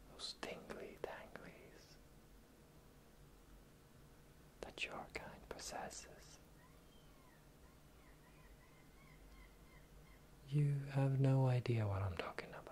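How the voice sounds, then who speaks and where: A performer voicing a sly, teasing pirate captain in a slow, breathy, whispered fantasy ASMR roleplay. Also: mid-range voice, natural voice. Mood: mysterious, musing. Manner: teasing, slow, drawling, theatrical.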